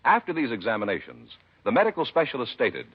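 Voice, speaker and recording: Male sponsor announcer, 1950s radio ad. Emphatic, lively intonation, husky edge, muffled recording. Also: mid-range voice, fast talker. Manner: polished, emphatic selling delivery. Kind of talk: scripted 1950s radio cigarette commercial.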